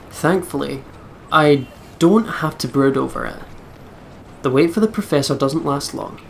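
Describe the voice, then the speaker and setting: A man's voice: a little high, vocal fry, slight background noise. A man hosting a let's play, reading visual novel narration aloud expressively with casual commentary.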